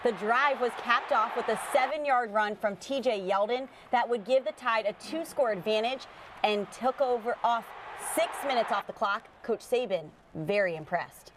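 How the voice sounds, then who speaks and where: A woman with an American accent and a fairly high voice presents scripted sports news, with faint noise behind her. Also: normal speed. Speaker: sports co-anchor. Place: TV studio.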